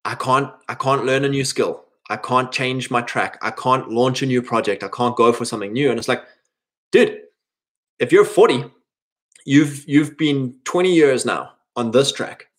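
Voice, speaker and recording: A man's voice: mid-range voice, moderate pace. Rambling thirty-year-old man, casual motivational talk. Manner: rambling, informal, voices imagined quotes mid-thought. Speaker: speaker giving advice to men.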